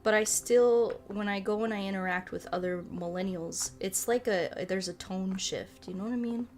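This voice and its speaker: A woman in her late twenties chatting informally as a livestream host, rambling and animated, with loose, sweary language. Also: medium pitch, moderate pace, soft background music. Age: late 20s. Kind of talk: casual chat while playing a game, reading and answering chat.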